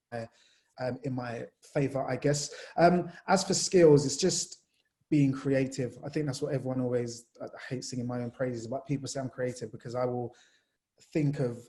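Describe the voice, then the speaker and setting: Jamaica-born young male sports journalist, warm mellow voice, animated, loose chatty delivery. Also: mid-range voice. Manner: animated storyteller, many fillers. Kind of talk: casual panel talk.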